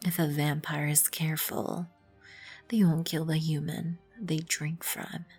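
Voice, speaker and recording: A woman performs an imperious vampire queen in an ASMR roleplay. Her voice sits a little low, breathy and husky, and she speaks very slowly and formally. She is composed and proud, protective of her colony. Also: little pitch movement, darkish tone, heavily acted. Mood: stern, indignant. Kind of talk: ASMR roleplay, scripted second-person acting. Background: background music.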